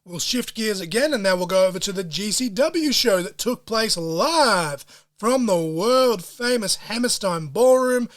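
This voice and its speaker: A man hosting a solo podcast, talking in an excitable, profane way full of wrestling-fan slang. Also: sits high, unhurried. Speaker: podcast host.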